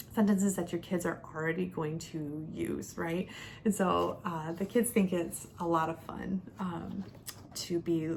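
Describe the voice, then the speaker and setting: A woman in her thirties giving a casual, conversational product review as a homeschooling mom, explaining things step by step. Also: darkish tone. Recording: clear background noise with low rumble.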